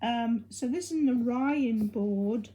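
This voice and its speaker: A woman presenting a hobby project in an informal, unscripted demonstration, explaining things in a hesitant, halting way. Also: a little high, dark tone, slight background noise, rough recording.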